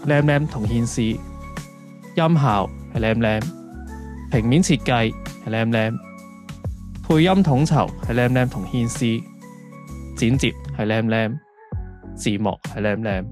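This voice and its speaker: A man announces a radio drama in a scripted style at a medium pitch. Music plays clearly behind his voice. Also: a little slow, pauses often, darkish tone. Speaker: announcer reading credits.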